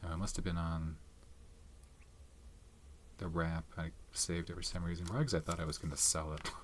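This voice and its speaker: A soft-spoken man in his thirties hosting an ASMR channel, rambling casually about movies with many fillers and some whispered words. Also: sits low, level tone, fairly quick, a little hiss or hum. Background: tapping and keyboard clicks.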